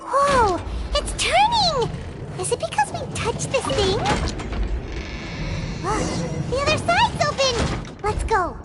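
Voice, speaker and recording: A woman voicing a tiny, childlike female fairy companion in a video game, squeaky, excitable and petulant. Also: takes it slowly, pauses often, over loud music, full character voice. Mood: excited. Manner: speaks of herself in third person, exclaiming and whiny.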